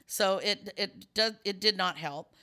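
A woman's voice: medium pitch, unhurried, sharp tone. A middle-aged woman with a scratchy voice, hosting a casual chat and explaining at length with many restarts and fillers.

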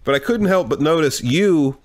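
A man's voice: on the high side, lively intonation, normal speed. A man hosting a movie marathon podcast, a little congested from a cold, with wry joking remarks. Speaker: podcast host. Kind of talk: casual chat.

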